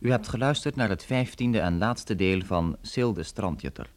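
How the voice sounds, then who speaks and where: A man's voice: lowish voice, lively intonation, moderate pace. A man announcing on the radio, reading formal scripted closing credits.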